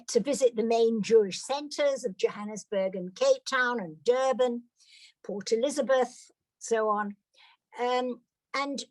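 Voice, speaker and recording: A woman's voice: a little high, dry recording, rough recording. An older British woman giving a personal talk to an audience, hesitant, with many fillers and restarts. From Britain. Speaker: guest speaker.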